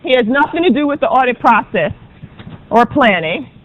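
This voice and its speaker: A woman professor lectures to a classroom with a loud, carrying voice. She talks in a brisk, chatty way and fills her pauses with ums. The recording is dull and lo-fi. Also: a little high, lively intonation. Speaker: auditing professor.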